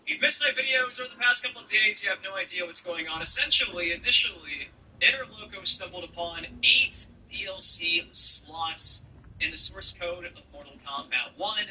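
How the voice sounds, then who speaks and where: A man's voice: sits high, lively intonation, normal speed, sharp tone, a little hiss or hum, poor audio. A man giving casual video game news commentary as a YouTuber, informal and slangy, speaking straight to his viewers.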